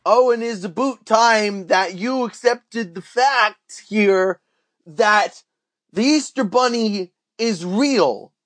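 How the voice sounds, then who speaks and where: A man acting as a naive Canadian oddball on a comedy podcast. His voice sits high and he takes his time, rambling in a folksy, earnest way with a put-on Canadian accent. The sound is very dry. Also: slow pace, fairly bright tone, no room sound. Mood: insistent. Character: dim, earnest Canadian man with absurd opinions. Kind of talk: comedy podcast character banter.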